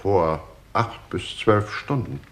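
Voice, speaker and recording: An actor playing a clinical, deliberate police doctor in a 1960s radio crime drama. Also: male voice, fairly low pitch, a bit bright. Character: matter-of-fact male police doctor. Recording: echoey, noisy vintage radio recording.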